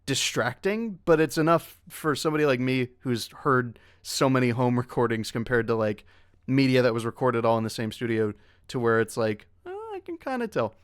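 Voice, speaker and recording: Smooth-voiced man chatting on a panel. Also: mid-range voice, sing-song, moderate pace, no room sound, studio quality. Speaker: voice actor on an online convention panel. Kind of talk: casual panel chat.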